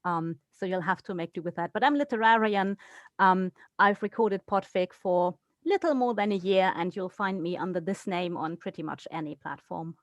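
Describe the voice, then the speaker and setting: A woman's voice: lowish voice, moderate pace, dry recording. A woman speaking informally as co-host of an online session, heard on a voice-only call. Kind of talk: informal webinar talk.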